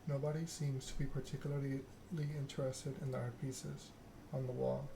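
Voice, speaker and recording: Man, game streamer, soft measured ASMR delivery, flat intonation, noticeable noise behind the voice. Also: medium pitch. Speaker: game streamer reading aloud and chatting with viewers. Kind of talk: ASMR narration of a text game with casual chat. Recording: clear background noise.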